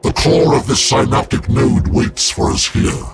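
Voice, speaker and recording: An actor voicing an ancient, cryptic psychic warrior in a war machine, with a breathy, solemn, portentous delivery over loud game music. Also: male voice, medium pitch, measured pace, close to an everyday voice. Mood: ominous. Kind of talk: video game cutscene voice acting.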